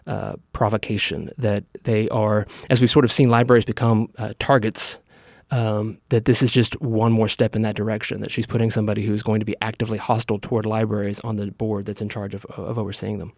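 A man's voice: fairly low pitch, little pitch movement, a little fast, vocal fry, a little mellow, dry recording, clean studio sound. An American man, a state politician, giving his opinions in answer to interview questions. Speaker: state senator being interviewed.

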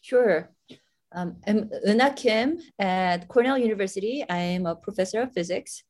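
A woman physics professor from Korea introducing herself on a video call, pausing with frequent ums. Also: medium pitch, darkish tone.

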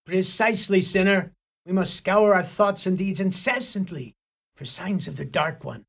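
A man's voice: high-pitched, normal speed, vocal fry, fairly bright tone, heavily acted. A male actor gives us a fire-and-brimstone Irish village priest for a radio play. He is stern and pompous, and he preaches in ornate, ominous phrases with an Irish accent.

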